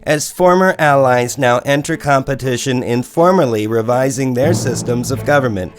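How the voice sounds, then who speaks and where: An actor playing a serious news anchor in an audio drama, reading formal, measured and urgent scripted news. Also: male voice, medium pitch, faint music behind, natural voice. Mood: grave, urgent.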